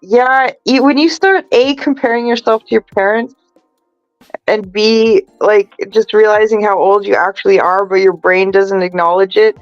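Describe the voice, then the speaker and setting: A woman's voice: mid-range voice, fairly even intonation, normal speed, clear, resonant tone, no room sound, faint music behind. Chatty woman co-host, forties, dull dry recording. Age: 40s. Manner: chatty, laughs easily. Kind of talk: casual chat.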